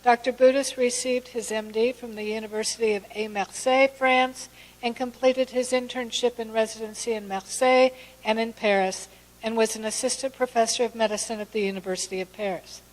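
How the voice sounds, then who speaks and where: Female host reading a formal introduction, slightly high voice, echo of a hall. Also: steady tone, a little fast. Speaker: event host introducing a speaker. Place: conference hall. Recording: long reverb of a hall.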